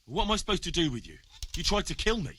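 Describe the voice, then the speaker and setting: Stubborn young medieval investigator in a game, lively swinging voice, bit of noise behind. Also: male voice, high voice, quick pace, full character voice. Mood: angry, alarmed. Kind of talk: video game drama acting.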